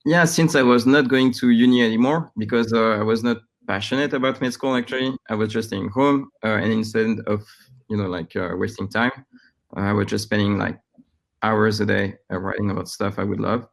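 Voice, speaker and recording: A man in his thirties from France with a French accent, giving halting, conversational answers as a startup founder being interviewed. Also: lowish voice, fairly even intonation, creaky. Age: 30s.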